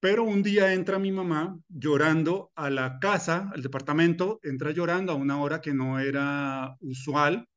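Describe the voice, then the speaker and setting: A man's voice: a little high, fairly even intonation, moderate pace, few pauses, fairly bright tone, dull audio. A middle-aged man from Colombia teaching a personal finance masterclass, talking earnestly and personally, with "eh" fillers and restarts. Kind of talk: recorded masterclass lecture.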